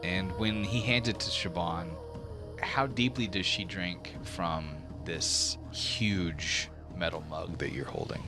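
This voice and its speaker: A man's voice: low-pitched, slow pace, over music. A man narrating a tabletop fantasy game as game master, with dramatic, vivid scene-setting.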